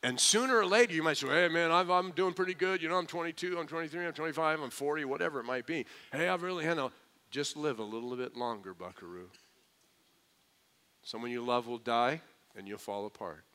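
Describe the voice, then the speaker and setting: Bright, crisp-voiced male preacher. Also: on the high side. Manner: lively preaching, mimics skeptics, slows for emphasis. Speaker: pastor. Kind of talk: sermon.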